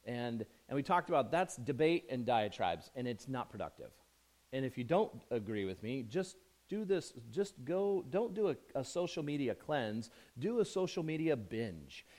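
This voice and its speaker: A hoarse man fighting off a chest cold gives a casual sermon from the pulpit. His voice sits at an ordinary middle pitch. He rambles, restarts his sentences and pokes fun at himself between points. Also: darkish tone. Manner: chatty, self-joking, rambling with restarts. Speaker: pastor. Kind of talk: conversational sermon.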